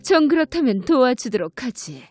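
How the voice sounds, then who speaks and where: A wicked witch from a children's fairy tale, acted out by a woman narrator, haughty and imperious. She commands and bargains in a fairly high voice, with music behind her. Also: very animated. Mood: coolly commanding. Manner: imperious, slow threatening questions and commands.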